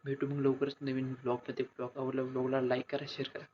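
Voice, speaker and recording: Low-key young man vlogging, dull audio. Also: monotone, fast talker. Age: young adult. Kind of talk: casual vlog chat. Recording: dull lo-fi audio, faint noise.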